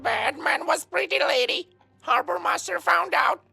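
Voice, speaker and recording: A woman's voice: very high-pitched, unhurried, sharp tone, soft background music, heavily acted. A woman voicing a squawking, chatty parrot that repeats overheard phrases in a video game. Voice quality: squawky, croaking. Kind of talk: cartoonish game creature acting.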